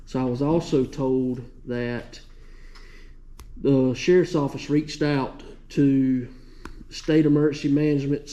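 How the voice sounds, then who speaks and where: Male council member, very mellow dark tone, plain-spoken and halting, muffled lo-fi meeting audio. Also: mid-range voice. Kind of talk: informal meeting remarks. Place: indoor committee meeting room. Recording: dull, muffled meeting recording.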